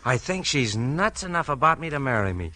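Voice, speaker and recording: A man's voice: medium pitch, big pitch swings, a little fast, sharp tone. A man with an American accent acting a folksy, resigned crooked policeman in a 1940s radio crime drama, talking in rueful slang. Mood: rueful, confessing.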